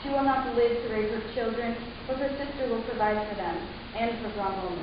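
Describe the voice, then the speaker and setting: A woman playing a composed, protective alien matriarch in a dramatic story reading, speaking in a measured, formal, persuasive way. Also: a little high, natural voice. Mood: grave, calm. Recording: distant, very echoey room, muffled, hissy.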